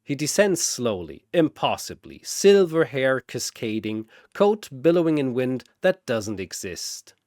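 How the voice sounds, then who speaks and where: A male narrator delivers scripted story narration with theatrical drama. His pitch swings widely, the pace is very deliberate, and the sound is dry and close. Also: very animated, a little mellow, no echo.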